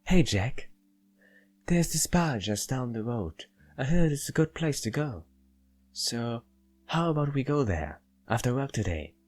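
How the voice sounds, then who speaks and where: A man's voice: medium pitch, animated, measured pace, darkish tone, voice pushed up. Man voicing a chirpy, teasing young woman coworker. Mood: friendly, inviting. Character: Grace, friendly cheerful young female coworker.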